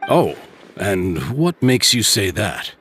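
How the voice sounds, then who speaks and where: A man's voice: fairly low pitch, very animated, slow pace, a bit bright, a little hiss or hum, full character voice. A man playing a courteous, protective middle-aged uncle and guild leader in a video game. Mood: grave, protective. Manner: courteous, theatrical.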